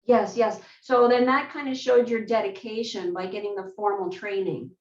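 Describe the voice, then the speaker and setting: A woman hosting an online interview, speaking in a friendly, measured way over a video call. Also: medium pitch, fairly even intonation, darkish tone. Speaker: host. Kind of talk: scripted intro, then interview. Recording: roomy, distant, dull video call audio.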